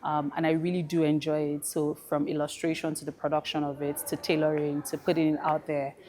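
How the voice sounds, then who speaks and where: A woman's voice: sits low, level tone, a little fast, darkish tone, slight background noise. A woman with a Nigerian accent, an easygoing panel guest who talks about her work and life with light laughs. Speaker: fintech founder, panel guest. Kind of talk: relaxed panel conversation.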